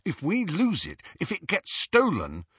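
A man's voice: fairly high pitch, huge pitch swings, unhurried, a bit bright, husky, no room sound, highs cut off, voice pushed up, full character voice. A man voicing a shaken, stubborn and defensive teenage wizard boy in an audiobook story.

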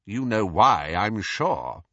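A man voicing a stern, suspicious older government minister in an audiobook, with measured, insistent questioning. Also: on the low side, big pitch swings, pushed and bright, dry recording, muffled recording, exaggerated voice. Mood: probing, suspicious. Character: stern, probing older male government minister interrogating youngsters. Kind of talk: audiobook character voice.

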